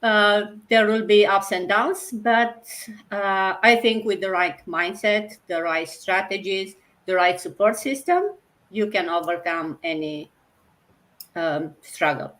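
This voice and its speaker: A woman's voice: mid-range voice, slow pace, fairly bright tone. A woman from Romania hosting a panel discussion in a conversational, informal and somewhat hesitant way. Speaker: host.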